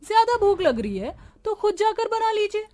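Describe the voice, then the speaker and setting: A woman playing a young, indignant, sharp-tongued daughter-in-law in a cartoon family drama, with a scolding, melodramatic delivery. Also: very high-pitched, sing-song, normal speed. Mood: scornful.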